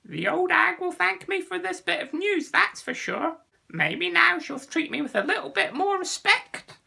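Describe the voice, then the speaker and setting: A man's voice: extremely high pitch, sing-song, a little slow, creaky, pushed and bright, pitched above the performer's own voice, full character voice. A man reading a children's story, voicing a sly, scheming little bird who serves as a spy. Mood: gloating. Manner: chuckling, scheming mutter.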